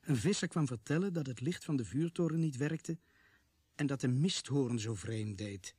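An actor playing a sober policeman who narrates a radio play in measured, suspenseful phrases. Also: mid-range voice, lively intonation, darkish tone, natural voice. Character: sober policeman narrator recounting a murder case.

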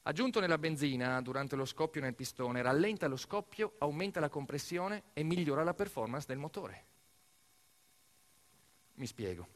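A man narrating a scripted theatrical monologue, telling the story in an emphatic, expressive way. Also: fairly high pitch, normal speed. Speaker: actor-narrator of a solo theatre piece.

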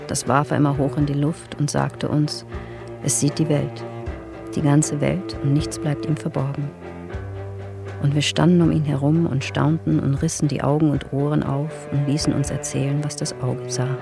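An actress playing a woman prisoner who writes a farewell letter in a radio drama, sombre, measured and restrained. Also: low voice, level tone, gravelly, over music. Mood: resigned, wistful. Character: woman prisoner on a forced march, writing a farewell letter, grave. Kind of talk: radio drama monologue, letter read aloud.

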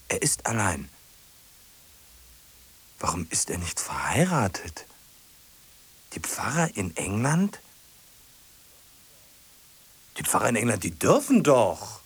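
A man's voice: lowish voice, husky, full character voice. An actor playing a hesitant, lonely, obsessive man who stumbles and repeats himself in a radio play. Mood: brooding, muttering. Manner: halting, repeats himself, muttering to himself.

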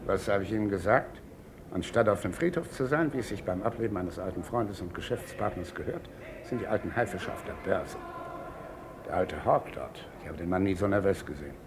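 An actor playing a cynical, gloating British financier in a vintage German crime radio drama, sardonic and theatrical. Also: male voice, sits low, animated, brisk, noisy background. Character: cynical, gloating titled British financier scheming on the stock exchange.

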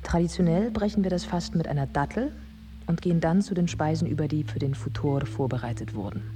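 An actress playing a thoughtful young woman, narrating in a measured first-person radio drama style. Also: deep voice, warm tone, a little hiss or hum, lightly acted. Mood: reflective. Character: thoughtful young Baghdad woman recounting wartime life.

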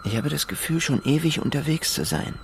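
A man's voice: on the high side, big pitch swings, fairly quick, creaky, a little hiss or hum. An actor playing a desperate, earnest man searching for his lost beloved in a radio drama. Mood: bewildered, searching. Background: music.

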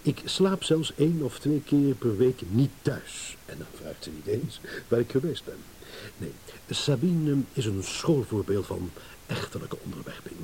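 An actor playing a self-assured, impatient philandering husband in a radio play, sardonic, blunt and mocking. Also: male voice, mid-range voice, warm tone, poor audio. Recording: hissy old radio play recording.

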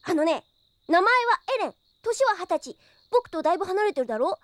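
A woman playing a cheeky, excitable, chatty young boy in an audio drama, eager and boyish. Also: very high voice, fairly quick, dry recording. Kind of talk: Japanese audio drama acting.

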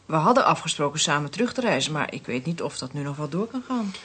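A woman's voice: low voice, sing-song, quick pace, a bit bright, husky, heavily acted. A woman acting a young, cooperative witness in a radio play, hesitant yet helpful as she answers. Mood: hesitant, worried. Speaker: actress in a radio drama.

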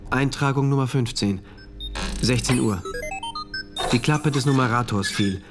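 A man's voice: mid-range voice, a little slow, lightly acted. A man acting in a radio drama as a troubled, introspective engineer who narrates his diary in a dramatic, brooding way. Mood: measured, narrating. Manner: dramatic, brooding first-person diary delivery. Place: studio. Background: music.

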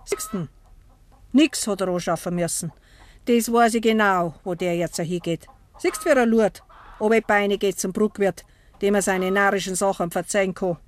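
An actress playing a disapproving, gossiping farm wife with a Bavarian accent, grumbling insistently in a 1950s dialect radio play. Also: female voice, medium pitch, normal speed, natural voice. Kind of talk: 1950s German dialect radio drama acting.

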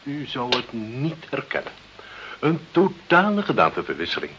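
A man's voice: mid-range voice, huge pitch swings, moderate pace. An actor in a radio play as an obsequious, efficient male deputy who speaks dutifully to his superior. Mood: eager, informing. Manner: deferential, dutiful, agreeing. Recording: dull, hissy old radio play recording.

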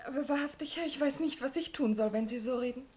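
An actress playing a naive young peasant bride in a radio comedy, artless and pleading, flattered yet proud of her honour. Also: high voice, fast talker, lightly acted. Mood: flattered but wary. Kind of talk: classic comedy radio play acting.

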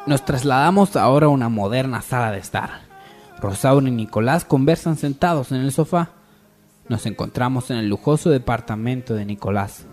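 A man's voice: medium pitch, normal speed, a little mellow, faint music behind. A man reading scripted scene narration for a comic radio soap.

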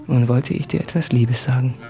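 An actor playing an anguished, self-doubting man who speaks in a brooding, deliberate, confessional way in a literary radio play. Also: mid-range voice, close to an everyday voice. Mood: reproachful. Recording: music under the voice.

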